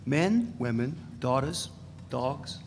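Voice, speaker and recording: A man reading a gravelly brash ex-radical. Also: medium pitch, animated, slow. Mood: boastful. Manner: blunt, provocative storytelling. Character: brash male ex-radical fugitive, boastful, crude. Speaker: reader in a dramatic reading. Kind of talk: live dramatic reading. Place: event space. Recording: reverberant live room, faint noise.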